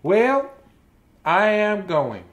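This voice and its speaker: Man doing a slow, cheerful little girl pig. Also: high-pitched, very animated, full character voice. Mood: cheerfully resolute. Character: small cheerful, determined female cartoon pig.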